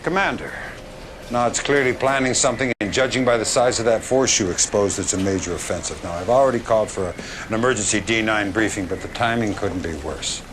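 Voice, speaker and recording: An actor playing a middle-aged veteran general in a game cutscene, grave, blunt and commanding, with an American accent. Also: male voice, lowish voice, a little fast, a little hiss or hum, natural voice. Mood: urgent. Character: grizzled, wary veteran male army general. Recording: loud background ambience.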